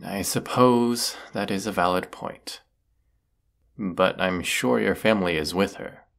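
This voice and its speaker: A brooding villainous wizard of the woods, acted by a man for a fantasy audio roleplay. His voice sits low with a creaky, gravelly edge. He speaks slowly and formally, with stern deliberation. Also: a bit bright, heavily acted. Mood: curt, impatient.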